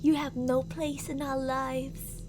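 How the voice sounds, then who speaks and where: A woman's voice: high-pitched, little pitch movement, slow pace. A woman playing an obsessively jealous, murderous stalker lover in an ASMR roleplay, theatrical and possessive, swinging between coaxing and menace. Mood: ecstatic triumph. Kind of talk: ASMR girlfriend roleplay acting. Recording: audible background noise.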